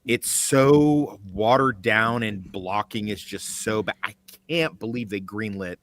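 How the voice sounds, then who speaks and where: Lower-voiced man, exasperated and emphatic. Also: measured pace, a bit bright. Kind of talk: casual discussion.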